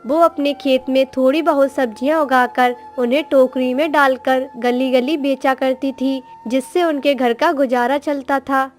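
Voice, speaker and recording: A woman's voice: high voice, fairly even intonation, unhurried, few pauses, faint music behind. A woman narrator reading a scripted moral story for a cartoon, in storytelling style.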